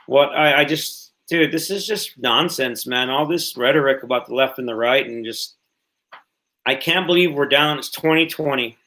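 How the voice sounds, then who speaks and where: A man's voice: medium pitch, normal speed, pushed and bright. A man in his late thirties hosting a casual livestream podcast, rambling and cursing freely as he chats. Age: 30s. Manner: rambling, swears freely, repeats himself. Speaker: podcast host.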